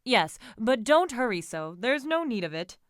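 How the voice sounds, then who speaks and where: Woman acting a firm, impatient young gentleman. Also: lowish voice, expressive, moderate pace, smooth, dry recording, clean studio sound, natural voice. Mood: irritated, commanding. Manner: firm, emphatic. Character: impatient, commanding young gentleman lover, reassuring his companion.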